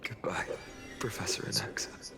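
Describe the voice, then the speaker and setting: A man's voice: normal speed, in a whisper, natural voice. A man playing a friendly, sincere young hero in a dramatic video game, with an easygoing manner. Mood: fond farewell. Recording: loud music underneath. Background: loud background music.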